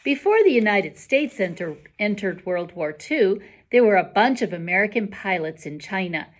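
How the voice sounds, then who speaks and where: A woman voicing a quiet, observant, bookish boy with a measured, matter-of-fact manner in a storybook. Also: medium pitch, lively intonation, close to an everyday voice.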